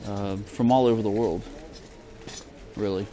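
A man's voice: fairly low pitch, fairly even intonation, unhurried, dark tone. A man giving a casual shopping tour as a vlogger, offhand and hesitant, trailing off in fragments, recorded on a handheld camera in a large supermarket. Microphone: handheld camera from behind it. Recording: clear store ambience noise.

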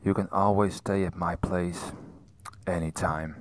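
A man's voice: low voice, steady tone, a little slow, no room sound. An actor playing a weary, subdued male villager in a fantasy video game, plain-spoken and resigned. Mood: resigned, grieving. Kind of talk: video game dialogue acting.

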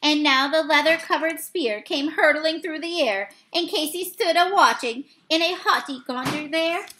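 A woman with a high, bright voice reads verse aloud from a picture book. Her delivery is halting, with the odd misreading that she goes back to fix. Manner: halting, with misreadings and self-corrections. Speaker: read-aloud reader. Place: small indoor room.

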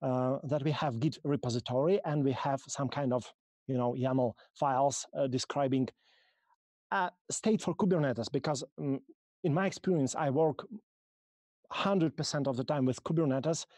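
A man from Russia with a Russian accent, speaking English as a second language and giving a halting technical conference talk over a video call, full of ums and restarts. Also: mid-range voice, darkish tone, dry recording, clean studio sound. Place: small room, video call.

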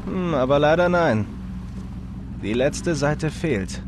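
An actor playing a young, wisecracking treasure hunter in a video game dub, wry, teasing and eager. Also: male voice, on the high side, expressive, slow pace, background noise. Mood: teasing, excited. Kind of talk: German video game dub, cinematic dialogue.

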